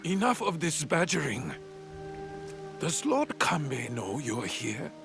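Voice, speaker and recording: A guarded noble father speaks with gravelly weight and slow, deliberate pacing. His pitch swings widely while faint music plays beneath. Curt and commanding. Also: sing-song, heavily acted. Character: stern guarded noble father, middle-aged lord. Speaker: feudal lord. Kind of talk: video game cutscene acting.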